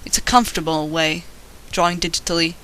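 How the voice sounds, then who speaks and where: A man's voice: a little high, steady tone, fairly quick, sharp tone, slight background noise, poor audio. A man with a Swedish accent talks casually while he draws, rambling, self-deprecating and swearing now and then. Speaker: digital artist narrating his drawing. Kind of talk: casual commentary over a drawing. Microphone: voice-over recorded while drawing.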